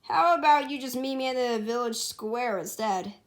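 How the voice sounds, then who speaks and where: A woman's voice: on the high side, normal speed, lightly acted. A woman voicing a cocky but awkward young male hedgehog hero in a storybook, stammering and boastful. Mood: nervous.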